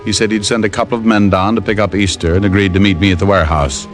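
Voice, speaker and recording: An American actor playing a tough, wisecracking private detective in an old radio drama, sardonic and unhurried. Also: male voice, sits low, steady tone, natural voice. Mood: tense narration. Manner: sardonic, hard-boiled, unhurried. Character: tough wisecracking male private detective, menacing yet casual. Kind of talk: 1940s-50s radio detective drama acting. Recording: old radio broadcast recording, music behind.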